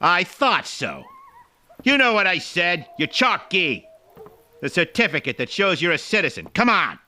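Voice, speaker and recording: A man's voice: sits high, sing-song, measured pace, pushed and bright, full character voice. A man playing a burly, suspicious small-town constable in a detective radio drama, questioning curtly and bullying. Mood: bullying, impatient. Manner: accusatory, curt, bullying. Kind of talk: old-time detective radio drama acting.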